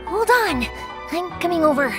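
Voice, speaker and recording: A young craftswoman in an anime game, very high-pitched and lively. She is bubbly, kind and chatty, speaking expressively over faint music. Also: moderate pace. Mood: cautious. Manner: bright-spirited, chatty, expressive. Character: bubbly, kind young female fireworks maker.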